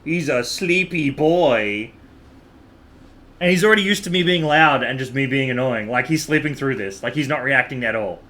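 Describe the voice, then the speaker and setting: A man's voice: medium pitch, normal speed, sharp tone. A man chatting casually as a game livestreamer, rambling and repeating himself for emphasis.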